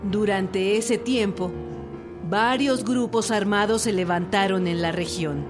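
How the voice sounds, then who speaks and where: A woman's voice: mid-range voice, takes it slowly. Radio narrator: Mexican woman, mid-range voice, scripted documentary read over strong music.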